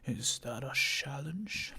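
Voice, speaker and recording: Reader whispering a stern commanding lion king, slow and husky. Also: medium pitch, animated, bright, forceful tone, pitched below the performer's own voice, full character voice. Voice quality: breathy whisper.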